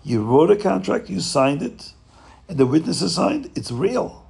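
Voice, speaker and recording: Innkeeper's wife, stern and insistent, gravelly; a man voicing her. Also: on the high side, big pitch swings, a little slow, a little mellow, full character voice. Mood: angry, insistent.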